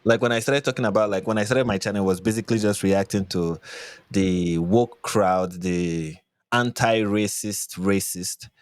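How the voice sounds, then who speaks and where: This is a Nigerian man with a fairly low voice, recorded dry with little room sound. He talks casually as a podcast guest, explaining his opinions. He is emphatic and often repeats a phrase to drive it home. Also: moderate pace, dry recording. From Lagos, Nigeria. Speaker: YouTube commentator, podcast guest.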